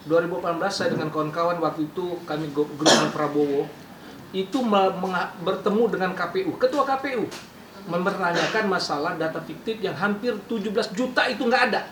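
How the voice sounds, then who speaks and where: A man with a high, sharp voice cuts into a group discussion. He talks quickly and with animation, a little away from the microphone in an echoey room. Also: recorded from a distance. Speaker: meeting participant. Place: echoey indoor meeting room. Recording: echoey room, off-mic, background noise.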